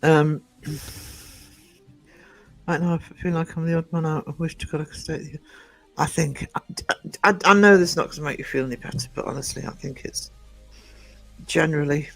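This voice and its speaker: A man, croaky from just waking up, rambling chattily to his live-stream viewers from home and greeting them by name. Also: a little high, fairly even intonation, normal speed, pauses often, a bit bright, soft background music, poor audio. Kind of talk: casual live-stream chat. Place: indoors at home. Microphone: desk microphone.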